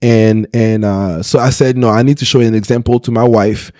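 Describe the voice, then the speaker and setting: A man's voice: fairly low pitch, steady tone, normal speed, mellow, no room sound. A pastor in his thirties telling his own story in an interview, earnest, often restarting and checking in with "right?", on a close podcast mic. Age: 30s. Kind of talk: candid personal storytelling. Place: small podcast studio. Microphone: close studio podcast mic.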